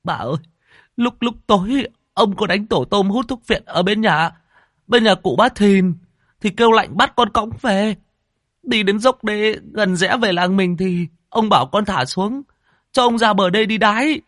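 A man's voice: sits high, measured pace, fairly bright tone, no echo, clean studio sound, voice pushed up. Man doing a terrified young servant. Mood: panicked. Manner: panicked, stammering. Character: frightened young male servant.

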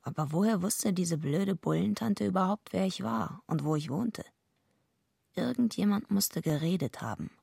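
An actress playing a tough, streetwise, defiant female loner in a radio drama, with a blunt, slangy, deadpan delivery. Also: lowish voice, normal speed, creaky, slightly rough, no room sound, studio quality, full character voice. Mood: dry narration. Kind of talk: radio drama acting with first-person narration.

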